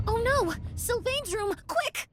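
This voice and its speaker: A woman playing a composed, analytical young female investigator in a video game, with measured, formal phrasing. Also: very high voice, animated, over music, full character voice. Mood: alarmed.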